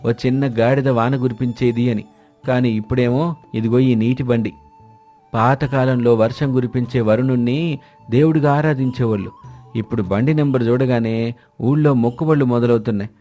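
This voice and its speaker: A man's voice: medium pitch, warm tone. A man reading scripted dialogue for village characters in a moral story. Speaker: story voice actor.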